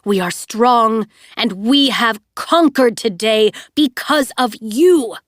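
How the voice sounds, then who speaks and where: A woman voicing a remorseless male villain who speaks in a low, soothing, unnervingly calm voice. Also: slow pace, dry recording, studio quality. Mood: persuasive.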